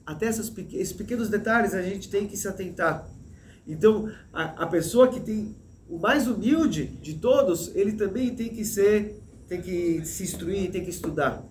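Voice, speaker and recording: A man in his thirties teaching a Torah lesson in an animated way, gesturing and restarting often, recorded indoors on a desk mic. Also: sits high, moderate pace, echoey room, slight background noise. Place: small room with a plain wall, indoors. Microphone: desk mic in front, medium framing.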